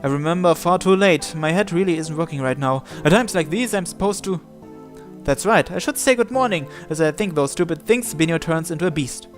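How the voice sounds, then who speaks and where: Higher-pitched man narrating a story over music. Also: lively intonation, a little fast. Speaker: gamer reading a visual novel aloud. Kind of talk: dramatic first-person story narration.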